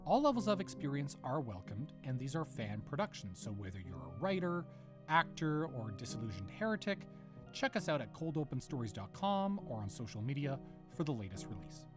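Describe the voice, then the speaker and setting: A man's voice: medium pitch, very animated, fairly quick. A man presenting a scripted outro and reading end credits as producer of an audio drama. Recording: clear music under the voice.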